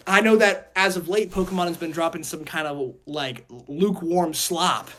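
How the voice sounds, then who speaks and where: A man's voice: fairly high pitch, moderate pace, a bit bright. A man hosting a casual podcast discussion, rambling and repeating phrases as he poses questions. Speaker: podcast host.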